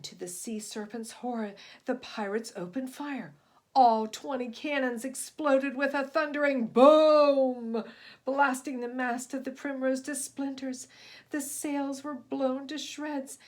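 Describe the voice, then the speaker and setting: A woman reading a children's story aloud in an expressive, emphatic style, moving between hushed and booming delivery. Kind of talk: expressive scripted storybook narration.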